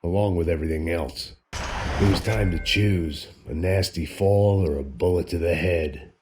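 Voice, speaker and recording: A man playing a jaded, middle-aged, hard-drinking action hero in deadpan, world-weary noir game narration. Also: sits low, measured pace, noisy background. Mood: brooding, weary. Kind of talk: hardboiled noir voice-over narration.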